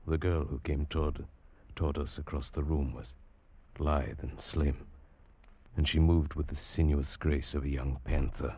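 A man's voice: very deep voice, level tone, measured pace, warm tone, husky, heavily acted. An actor playing a bewildered, haunted traveler who tells his eerie tale in an intense, hesitant, dramatic way. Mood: tense, uneasy. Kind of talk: 1940s radio drama acting and first-person narration. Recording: dull vintage radio recording with music.

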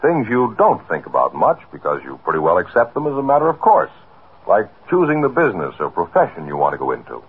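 A man's voice: fairly low pitch, huge pitch swings, fast talker, husky. A man delivering a scripted 1950s radio message in a folksy, explanatory way, talking straight to the listener. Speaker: public service announcer. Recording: muffled narrow-band 1950s radio recording.

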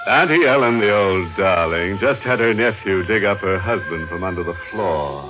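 A man's voice: deep voice, animated, normal speed. A man playing a ghoulish, sardonic horror host, narrating a 1940s radio mystery with tongue-in-cheek relish. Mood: gleefully macabre. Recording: dull vintage radio recording, music.

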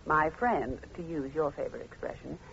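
An actress playing a detective's curious, wry confidante, questioning and gently teasing in an old radio mystery. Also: female voice, low-pitched, moderate pace, creaky, a little hiss or hum. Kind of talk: 1950s radio detective drama acting. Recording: muffled old radio recording.

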